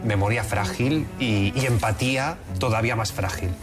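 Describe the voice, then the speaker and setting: Male interviewer, a little low in pitch, conversational hosting style, faint music underneath. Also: moderate pace. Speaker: interview host.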